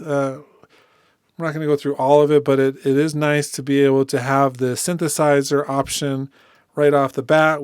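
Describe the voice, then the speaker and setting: A man giving a casual, conversational software walkthrough as a tutorial host. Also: fairly high pitch, smooth, dry recording, clean studio sound. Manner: informal, conversational.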